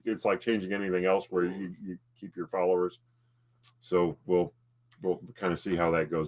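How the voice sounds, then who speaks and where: A man co-hosting a casual home livestream, talking in halting bursts and often repeating his words. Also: low-pitched. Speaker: livestream co-host, collectibles seller.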